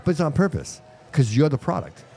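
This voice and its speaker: A mellow, warm-voiced man hosts a casual live podcast. His first language is Portuguese, and he speaks English with it underneath. He stresses his points hard, circles back and repeats phrases, and keeps saying dude and bro. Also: fairly high pitch, very animated, fairly quick, faint music behind. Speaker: podcast host. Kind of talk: casual chat. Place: live show at a brewery venue.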